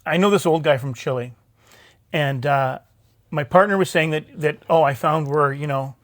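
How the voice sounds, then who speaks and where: Male talk show host, slightly high pitch, chatty and halting, dry sound. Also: fairly bright tone, no echo. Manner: halting, many fillers and restarts, chatty. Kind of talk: live talk show interview. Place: theatre stage, live show.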